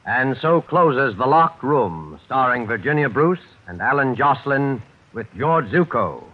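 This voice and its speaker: A man making measured, formal announcements in 1940s radio style. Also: mid-range voice, very animated, noisy background. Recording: phone-like vintage radio broadcast.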